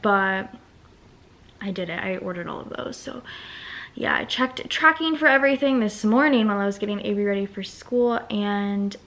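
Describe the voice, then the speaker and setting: Young woman, casual vlogger, rambly and talkative, mid-pitched voice, talking through her to-do list at home. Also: moderate pace. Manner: chatty, rambling, thinks out loud. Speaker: vlogger and small business owner. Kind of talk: casual vlog chat.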